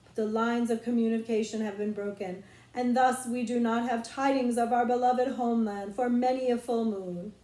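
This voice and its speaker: A bold, imaginative girl with regal airs, acted out by a woman reading a storybook. The voice is higher-pitched and mellow, with lofty phrasing. The room echoes around it. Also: level tone, moderate pace, natural voice. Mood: regal, hushed. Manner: lofty mock-archaic speech. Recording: roomy echo.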